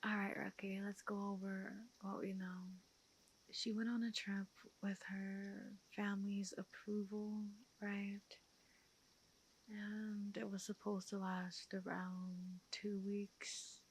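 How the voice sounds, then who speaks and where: Slow, breathy woman detective, ASMR. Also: medium pitch, little pitch movement, low-quality recording. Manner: measured, deliberate questioning. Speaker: ASMR roleplayer playing a detective. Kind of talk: soft-spoken, breathy ASMR roleplay.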